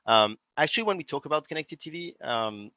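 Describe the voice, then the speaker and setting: A man's voice: a little high, lively intonation, brisk, pushed and bright, dry recording, dull audio. A man from France with a French accent, answering interview questions conversationally as a company executive. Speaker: interview guest, company CEO.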